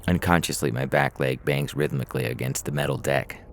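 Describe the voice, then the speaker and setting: A man narrating scripted fiction in a measured, dramatic storytelling manner. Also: extremely low pitch, fairly even intonation, vocal fry, slight background noise. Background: music.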